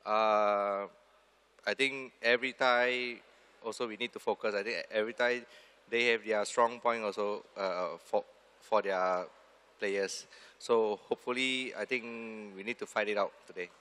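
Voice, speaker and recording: A male team manager answering pre-match interview questions in hesitant, halting phrases. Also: lowish voice, steady tone, pushed and bright, smooth.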